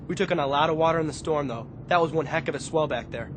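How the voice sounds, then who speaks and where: A man plays an eager young Navy ensign in a radio play. He sounds respectful and deferential, with a fairly high voice set against clear rumbling noise. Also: quick pace, natural voice. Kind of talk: radio theatre acting.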